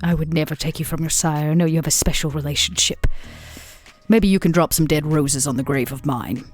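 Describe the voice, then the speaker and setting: A woman playing a shrewd, composed female vampire in a tabletop roleplay, speaking in measured, formal phrases. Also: low voice, faint music behind. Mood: gracious, businesslike. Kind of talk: tabletop roleplay actual play.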